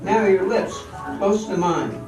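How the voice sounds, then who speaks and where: A man's voice: high-pitched, steady tone, takes it slowly. A man playing an exacting, cocksure professional dancer in a theatrical amateur radio drama, with a commanding, instructive manner. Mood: instructing. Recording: echoey hall, distant mic, music behind.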